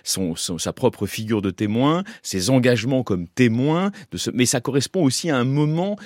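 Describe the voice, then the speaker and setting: A man's voice: mid-range voice, lively intonation, normal speed, a little mellow, no room sound, clean studio sound. A man hosting a radio interview, articulate and formal, with elaborate phrasing. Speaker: radio host.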